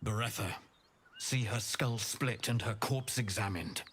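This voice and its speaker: An actor playing a merciless, scornful Githyanki knight commander in a fantasy video game, speaking in a commanding, theatrical way. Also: male voice, lowish voice, measured pace, a bit bright, a little hiss or hum. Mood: cold, pitiless.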